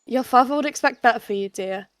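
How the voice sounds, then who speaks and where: A woman acts out a motherly warrior she-cat in an online roleplay, firm yet loving. Her voice sits fairly high and is recorded dry and close. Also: fast talker, no room sound, lightly acted. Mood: softer, wary. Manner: scolding, then softened.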